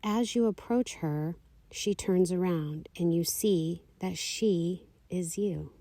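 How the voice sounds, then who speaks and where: A woman leading a guided meditation, giving calm, soothing, unhurried instructions. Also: fairly low pitch, dry recording. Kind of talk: guided meditation, slow scripted narration.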